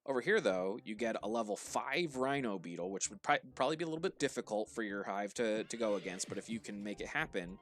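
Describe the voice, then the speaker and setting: Low-voiced man with a Jersey-Pittsburgh accent. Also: quick pace, hardly any pauses. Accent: New Jersey and Pittsburgh blend. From New Jersey. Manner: relaxed, instructive, with frequent restarts and fillers. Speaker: streamer guiding viewers. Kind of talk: casual live game commentary.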